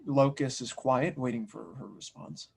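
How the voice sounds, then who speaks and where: A man's voice: medium pitch, normal speed, gravelly, slightly rough. Man narrating an audio drama script. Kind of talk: reading script narration and stage directions.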